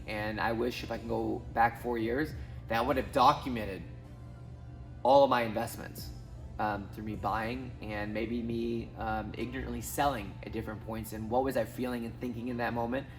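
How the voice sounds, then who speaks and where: A man in his thirties from Southwest Missouri, talking casually to camera as a finance YouTuber, rambling with many ums and restarts. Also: lowish voice. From Southwest Missouri, USA. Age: 30s. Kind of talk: casual talking-head vlog.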